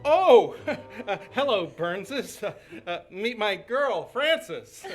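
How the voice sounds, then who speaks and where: A cocky, stammering ladies' man in an old-time radio comedy. His high voice is flustered and animated as he jokes. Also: drawn-out words, faint music behind, exaggerated voice. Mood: sheepish. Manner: stammering, joking.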